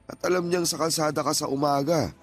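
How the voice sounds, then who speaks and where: A man acting as a gentle, hurt older father in a radio drama, pleading earnestly and emotionally with his grown child. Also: fairly high pitch, steady tone, fairly quick. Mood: reproachful. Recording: music playing behind the voice.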